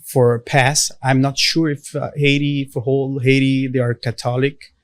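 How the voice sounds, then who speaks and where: A Brazilian man speaking English as a second language with a Portuguese accent, a podcast guest talking haltingly with many fillers. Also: medium pitch, level tone, no echo. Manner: halting, many "uh" and "like" fillers, non-native grammar. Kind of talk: casual interview conversation.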